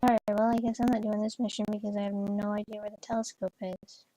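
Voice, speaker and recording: A woman's voice: medium pitch, flat delivery, fast talker, dark tone, dry recording, poor audio. A young woman chatting casually while playing a horse game, rambling with lots of fillers and restarts. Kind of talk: casual gameplay chat. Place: bedroom, on a bed.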